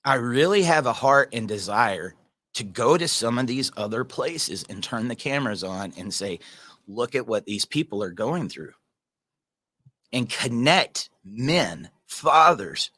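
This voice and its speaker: A man's voice: medium pitch, sharp tone, dry recording. A man hosting a casual livestream, reading viewer comments and talking earnestly in a rambling way, addressing viewers by name.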